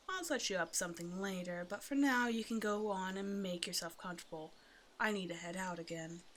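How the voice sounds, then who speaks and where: A woman's voice: mid-range voice, fairly bright tone, close to an everyday voice. Teasing predatory naga woman acting over background noise. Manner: teasing, condescending, casual conversational menace. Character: teasing predatory female naga, bored, self-sufficient, menacing. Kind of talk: ASMR roleplay, one-sided scripted dialogue to the listener.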